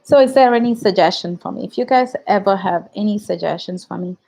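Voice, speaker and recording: A woman's voice: medium pitch, fairly quick, gravelly, warm tone. A woman with an Indian English accent and Tamil as her first language, chatting reflectively on a live stream as a YouTube host, with fillers and restarts. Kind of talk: casual live-stream chat.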